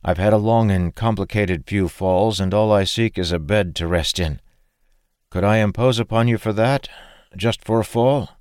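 A man voicing an old man stuck in a young body, proud, fussy and quick to take offense. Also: fairly low pitch, a little mellow, clear, resonant tone, no room sound, studio quality, voice pushed up, lightly acted. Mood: polite. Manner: indignant, fussy, formal.

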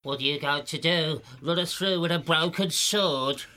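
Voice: medium pitch, moderate pace, pushed and bright, voice pushed up, lightly acted. A narrator voicing a sly weasel crew member who mocks the boss with a sneering, sarcastic manner in a storybook. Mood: mocking.

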